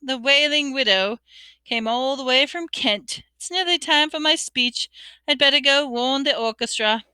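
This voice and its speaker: Woman putting on a proud, stuffy gentleman ghost. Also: very high-pitched, animated, slow, dry recording, voice pushed up, exaggerated voice.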